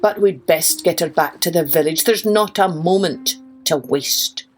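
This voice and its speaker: A woman's voice: fairly low pitch, a little slow, natural voice. A woman voicing earnest Scottish fishermen in a children's story, urgent and emphatic. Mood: urgent, concerned.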